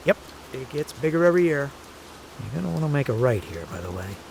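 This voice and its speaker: A man's voice: medium pitch, fast talker, gravelly. An actor playing a fussy, protective, stubborn aging father in an audio drama, rambling and nagging in a natural way. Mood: dry, fussy. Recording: steady rain noise behind the voice.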